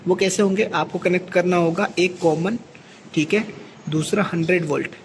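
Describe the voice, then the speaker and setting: A man's voice: high voice, fairly even intonation, moderate pace. A man with an Indian accent giving a hands-on, step-by-step equipment tutorial as a technician, often adding a short check-in tag. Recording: clearly noisy amateur recording with ambient sound.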